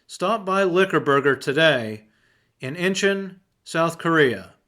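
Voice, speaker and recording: A man puts on a cheesy male announcer for radio-style ads, pitched up and showy. The delivery is exaggerated and drawn out, selling every phrase with upbeat salesmanship. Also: lively intonation, takes it slowly, sharp tone, full character voice. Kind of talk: advertisement read.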